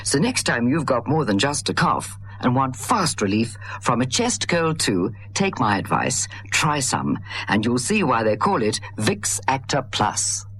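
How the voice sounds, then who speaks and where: A man's voice: mid-range voice, wildly swooping pitch, a little slow, hardly any pauses, fairly bright tone, a little hiss or hum. A man giving a friendly, persuasive testimonial in a radio advert.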